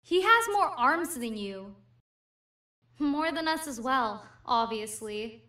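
A woman's voice: sits high, very animated, slow, sharp tone, exaggerated voice. A woman voicing a wary little monster child in a video game. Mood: matter-of-fact. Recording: distant, faint music behind.

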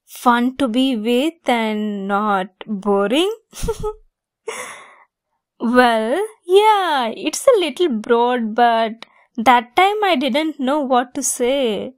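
A woman's voice: fairly high pitch, animated, extremely slow, pauses often, no room sound, studio quality. A woman acting a giggly, teasing young woman fond of a longtime friend, in a romantic ASMR roleplay. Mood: amused, flustered.

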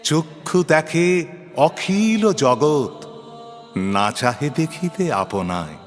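A man gives a dramatic recitation of devotional verse at a very slow pace. His delivery is solemn and declamatory, stretching phrases toward chant. His mid-pitched voice rings in a long reverb, with music behind it. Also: sing-song. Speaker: poetry reciter.

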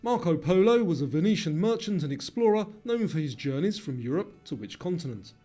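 Higher-pitched man reading quiz questions, music behind. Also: very animated, fairly quick, dark tone. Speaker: quiz narrator. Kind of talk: scripted quiz narration.

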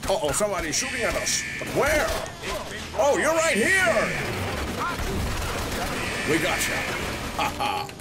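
Man acting a cartoon game soldier, boastful, combative, high-pitched, very animated, loud music underneath. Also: unhurried, pauses often, heavily acted. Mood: excited bluster. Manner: boastful, exclaiming.

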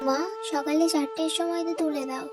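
A woman voicing a gentle, wronged young stepdaughter in a cartoon moral story, earnest and pleading. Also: high-pitched, fairly even intonation, fairly quick, music in the background, close to an everyday voice. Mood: protesting.

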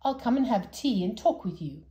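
A jolly old saucepan man, loud and high-pitched, as a woman reads him aloud. He gets words wrong and bounces about in pitch with cheerful excitement. A children's storybook voice. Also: moderate pace, echoey room, close to an everyday voice. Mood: excited. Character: old, hard-of-hearing, cheerful male saucepan peddler.

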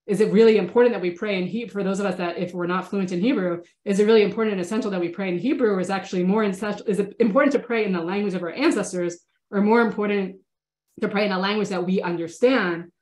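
A woman in her thirties, a rabbi teaching an online class from her home study, talking in a thoughtful, casual way with many restarts, sniffly from a cold. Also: on the low side. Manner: thoughtful, hesitant restarts, warm engagement with participants. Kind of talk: casual online lecture answering chat questions. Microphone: laptop webcam video call. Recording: roomy webcam audio.

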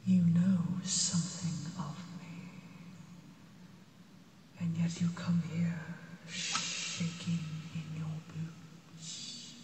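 A woman voicing an ancient, imperious but gentle female dragon in an ASMR fantasy roleplay, breathy and stately, with deliberate archaic phrasing. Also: sits low, flat delivery. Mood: stern, probing. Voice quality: breathy, mostly whispered. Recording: echoey cavernous reverb, distant.

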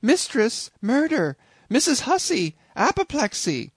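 A man voicing an excitable young chambermaid who exclaims in alarm. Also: very high-pitched, unhurried, husky, no room sound, pitched above the performer's own voice, full character voice. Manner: breathless, exclaiming. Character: panicked chambermaid woman, excitable.

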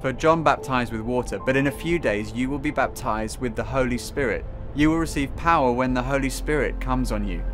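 Casting: British male presenter, thirties, earnest faith storytelling, measured phrases and pauses, music underneath. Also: medium pitch. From Britain. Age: 30s.

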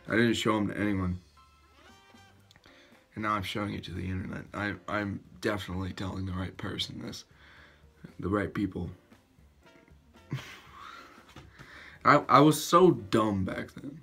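A man's voice: on the low side, pushed and bright, husky. A teenage boy vlogging casually from his bedroom, self-mocking and chatty as he laughs at himself. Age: teens. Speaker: YouTuber. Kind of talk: casual reaction vlog. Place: bedroom, indoors. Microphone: built-in webcam mic at arm's length. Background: faint background music.

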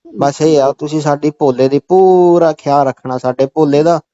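A Punjabi-accented man with a fairly high voice is making a comic phone call. He is insistent and keeps returning to stock phrases. The recording is dull and lo-fi. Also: moderate pace, warm tone.

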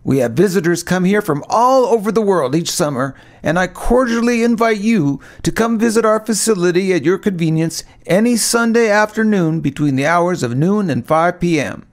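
A man's voice: high-pitched, expressive, moderate pace, hardly any pauses, pitched above the performer's own voice. A man voicing an eccentric backwoods mountain scientist with a homespun, earnest twang, in an audiobook. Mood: cordial, earnest. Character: folksy backwoods mountain scientist, eccentric male lab director. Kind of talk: audiobook character reading.

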